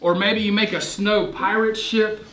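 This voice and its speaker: A man's voice: sits high, steady tone, moderate pace, a loud crowd. A man, playfully telling stories to young children and coaxing them with questions. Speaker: children's storyteller and teacher. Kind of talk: animated story time with young children. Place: indoor room with a group of children. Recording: echoey room with clear background noise.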